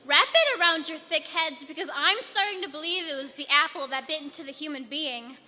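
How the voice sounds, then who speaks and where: A woman's voice: high-pitched, expressive, a little fast, sharp tone. Teen woman reciting slam poetry in a hall. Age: teens. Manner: rhythmic, emphatic delivery. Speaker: slam poet. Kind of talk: spoken word duet performance. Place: auditorium stage. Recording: reverberant hall.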